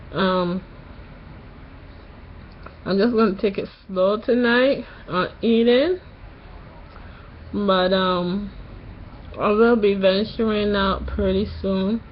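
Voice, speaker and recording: A young woman vlogging just after tonsil surgery, a little nasal, talking slowly through a sore throat with many pauses. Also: medium pitch, dull audio, a little hiss or hum. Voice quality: slightly nasal. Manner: slow, halting, pauses often. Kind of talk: casual vlog to camera.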